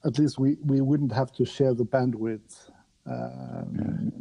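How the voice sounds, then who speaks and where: Man, podcast host, gravelly fry, dark relaxed tone, courteous, formal wording, halting, conversational, mobile phone mic. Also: medium pitch, muffled recording. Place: remote conversation over a podcast app on a mobile.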